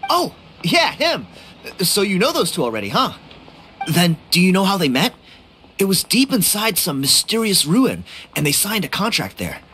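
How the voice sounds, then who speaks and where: A man's voice: sits high, huge pitch swings, normal speed, pushed and bright, a little hiss or hum, exaggerated voice. An actor playing an upbeat young tribesman in a game, chatty, casual and earnest. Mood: musing. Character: upbeat young tribesman, eager amateur relic hunter. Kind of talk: English game voice acting. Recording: studio voice over game music.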